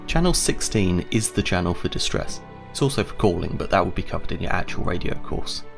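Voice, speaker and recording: A man's voice: low voice, expressive, brisk, creaky, soft background music. A man giving a scripted instructional narration, explaining step by step in a conversational way.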